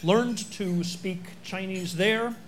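A man hosting an event, introducing the guest speaker in a chatty, halting way with many fillers. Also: high voice, a little hiss or hum. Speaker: event host.

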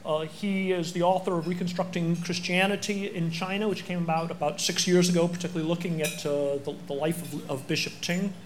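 Male host, high voice, chatty intro full of ums, faint hiss behind. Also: steady tone. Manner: chatty, halting with fillers. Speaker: event host. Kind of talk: speaker introduction.

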